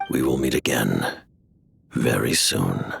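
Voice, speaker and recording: An actor playing a calm, secretive and commanding man in a video game, speaking in a measured, cryptic way. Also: extremely low pitch, vocal fry, slight background noise, heavily acted.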